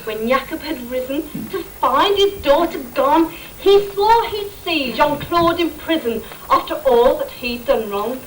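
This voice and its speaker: Female pantomime narrator, measured rhyming storytelling, unhurried, recorded from a distance with clear noise. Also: high-pitched, animated. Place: live staff pantomime in a hall. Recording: distant, noisy amateur stage recording.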